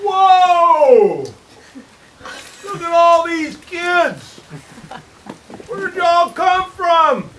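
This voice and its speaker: A performer voicing an excitable puppet host who calls out to a crowd of children in a live puppet show. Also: extremely high pitch, very slow, pauses often, recorded from a distance, slight background noise, exaggerated voice, poor audio. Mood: excited. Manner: exclamatory, calling out to the audience. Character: excitable puppet host searching for lost dinosaur friend.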